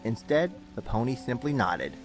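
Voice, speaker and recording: A man's voice: mid-range voice, big pitch swings, normal speed. Man narrating dramatic fiction over music. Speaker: story narrator.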